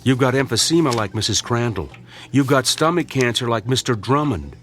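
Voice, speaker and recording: An actor playing a sceptical, principled doctor in a radio drama, with a dry, reproachful manner. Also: male voice, medium pitch, lively intonation, a little fast, a bit bright, lightly acted. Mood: grave, explaining. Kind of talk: theatrical radio drama acting.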